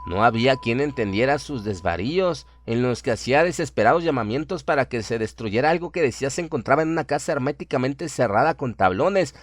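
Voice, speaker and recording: A man's voice: medium pitch, expressive, brisk, hardly any pauses. Male horror narrator over music. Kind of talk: dramatic scripted horror story narration. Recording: prominent music under the voice.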